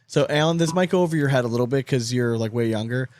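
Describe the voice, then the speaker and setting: A man's voice: medium pitch, fast talker, darkish tone. A man in his thirties with an American accent hosting a casual banter interview, joking crudely and repeating himself for emphasis. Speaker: podcast host.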